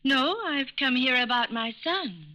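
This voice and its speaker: A shrewd, self-assured woman in a vintage radio crime drama. Her voice is sharply bright and very animated in pitch. She speaks in a clipped, theatrical way, and the old recording is slightly muffled. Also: a little slow, exaggerated voice. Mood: concerned. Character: shrewd, cool-headed female con artist, sometimes feigning worried mother.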